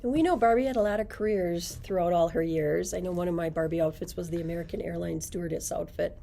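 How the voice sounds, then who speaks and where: A woman's voice: fairly low pitch, fast talker, vocal fry, warm tone, a little hiss or hum. A middle-aged woman, a librarian, recommending books and reading their blurbs aloud to a camera in a library. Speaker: librarian presenting book picks.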